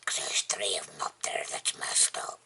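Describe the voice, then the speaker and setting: A vlogger with a husky, breathy, gravelly voice, chatting casually in a rambling, folksy, plainspoken and unhurried way. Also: rumbling low, sharp tone, whispered. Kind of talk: casual rambling chat to camera.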